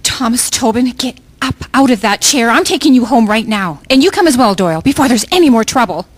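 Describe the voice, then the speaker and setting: A woman playing a nagging, exasperated wife with a husky voice in a historical radio drama. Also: on the high side, lively intonation, brisk, no room sound. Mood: scolding. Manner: scolding, urgent.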